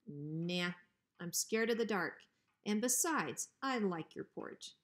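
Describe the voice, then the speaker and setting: A woman's voice: lowish voice, expressive, slow pace. A woman plays a big, sloppy bully of a Rottweiler in a storybook. He taunts in folksy slang and mocks with menace. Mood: smug. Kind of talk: children's storybook reading.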